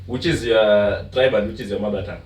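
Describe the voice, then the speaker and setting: A man's voice: lowish voice, fairly quick, far from the mic. A Kenyan man hosting a podcast, chatting and teasing with lots of laughter. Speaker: podcast host. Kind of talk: casual banter switching between Swahili and English. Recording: echoey room, away from the mic.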